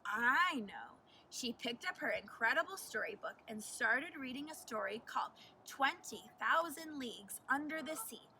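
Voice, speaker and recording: A woman narrates a children's picture book in a high, bright voice with animated, deliberate delivery. She is a little far from the mic, with faint noise behind her. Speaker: teacher reading aloud. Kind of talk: expressive children's storybook narration.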